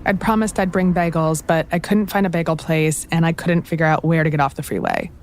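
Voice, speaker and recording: A woman's voice: deep voice, lively intonation, brisk. A woman hosting a podcast, telling the story in a conversational, lightly wry scripted narration and putting questions to her guests. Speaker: podcast host. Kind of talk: scripted narration mixed with interviewing.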